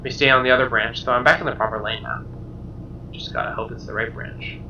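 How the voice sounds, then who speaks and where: A man reading a visual novel aloud as dramatic, expressive narration, with game music behind him. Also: medium pitch, quick pace, dull audio, background noise. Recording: slightly distant mic under game music.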